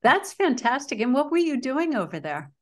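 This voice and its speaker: A woman's voice: high voice, sing-song, normal speed. Upbeat woman hosting a podcast. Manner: upbeat, chatty. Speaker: podcast host.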